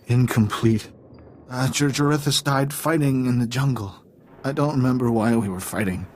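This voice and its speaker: Casting: male actor as confused, drugged slave, mid-pitched, stammering, disjointed, eager to obey, game drama. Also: normal speed, a little mellow, a little hiss or hum, lightly acted. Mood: dazed, halting. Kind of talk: video game character acting.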